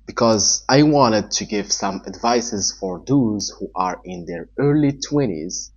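A man in his forties from Morocco, a native Arabic speaker with a Moroccan accent, giving earnest advice in halting English to a camera in a small room. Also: lowish voice, expressive, breathy edge. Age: 40s. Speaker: online entrepreneur giving advice. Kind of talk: talking-head advice vlog. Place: small indoor room with a wall-mounted TV. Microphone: camera in front at desk distance. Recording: somewhat dull, lo-fi sound.

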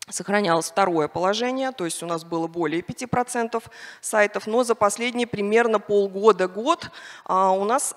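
A middle-aged woman speaking on a conference panel into a handheld mic, hesitant with many fillers and restarts. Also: mid-range voice. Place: large conference hall on stage. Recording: reverberant conference hall.

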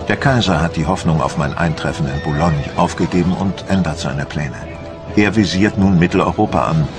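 Deep gloomy french admiral telling his story in a docudrama, brooding, music under it. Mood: somber. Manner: grave, brooding. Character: troubled, despondent French admiral recounting his failing campaign. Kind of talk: first-person documentary drama narration. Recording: loud background music under the voice.

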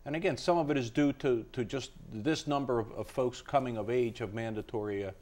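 A man in his fifties answering interview questions as a college dean, explaining in a halting, measured way in a studio. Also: medium pitch, darkish tone. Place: indoor TV studio. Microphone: lapel.

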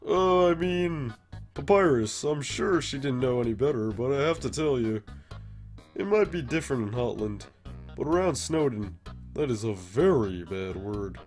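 A laid-back, protective cartoon skeleton, played by a man, with a middling pitch. He talks casually with dry, teasing wit over background music. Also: expressive, normal speed, a little mellow, natural voice. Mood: uneasy. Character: laid-back, wry, protective skeleton older brother, male. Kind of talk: comic dub voice acting.

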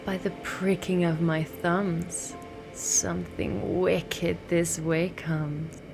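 An eerie witch, voiced by an actress, foreboding and measured. Her voice sits low and moves slowly, in classical radio-drama style. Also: flat delivery, gravelly, music in the background. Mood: eerie, ominous. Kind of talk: Shakespearean radio drama acting.

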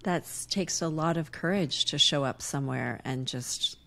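A woman in her forties hosts the conversation in a low, soft voice, asking questions in a halting, curious way. She speaks from her living room at home. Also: vocal fry. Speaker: podcast host. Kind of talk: casual interview.

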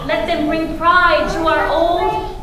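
A middle-aged woman recites a poem like a prayer, slowly and in a high voice. Her delivery is expressive and playfully solemn. The hall echoes around her. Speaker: poet reading at a tribute event. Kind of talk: dramatic poetry reading styled as a prayer. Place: stage in a meeting hall with seated audience. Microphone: stand microphone. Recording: echoey hall with some background noise.

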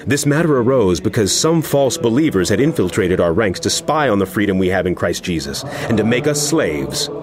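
An actor playing an impassioned apostle in a dramatized audio Bible, speaking in a solemn, emphatic, oratorical way. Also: male voice, fairly low pitch, big pitch swings, normal speed, few pauses. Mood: earnest recounting. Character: impassioned apostle writing a stern, earnest letter. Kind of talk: dramatized scripture reading over music. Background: background music.

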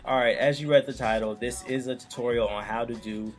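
A man's voice: mid-range voice, steady tone, brisk, faint music behind. A young man hosting a casual computer tutorial, joking and talking straight to viewers. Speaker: YouTube tutorial host. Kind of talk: casual screen-recorded tutorial.

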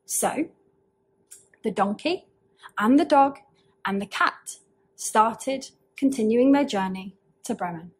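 A young woman tells a storybook tale in simple phrases. Her voice sits a little high and has some huskiness. She reads at a very unhurried pace. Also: animated, husky, rough recording. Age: young adult. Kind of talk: slow, simple storybook reading aloud. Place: small indoor room with bookshelves.

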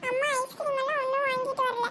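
A bossy big-sister girl in a cartoon comedy. Her pitched-up voice is extremely high and tiny, nagging and teasing, with loud noise behind it. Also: little pitch movement, fast talker. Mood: complaining.

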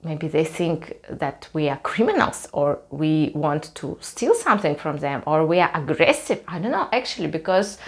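A woman's voice: mid-range voice, a little mellow. A young woman from Russia with a Russian accent, telling a story to camera at home in a hesitant way, with many fillers. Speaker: vlogger. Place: small cluttered room at home, indoors.

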